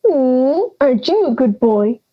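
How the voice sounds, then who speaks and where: Young woman as a cranky, high-pitched fairy-tale granny. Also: very animated, unhurried, muffled recording, exaggerated voice. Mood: sardonic. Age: young adult. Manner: brusque, sarcastic, theatrical. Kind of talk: audio drama acting.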